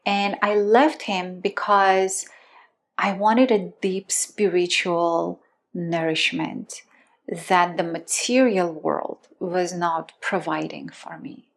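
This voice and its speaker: Earnest woman, low-pitched. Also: steady tone, creaky. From Armenia. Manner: earnest and emphatic, repeats words for stress, slows down on key phrases. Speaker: love and relationship teacher. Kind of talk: personal storytelling.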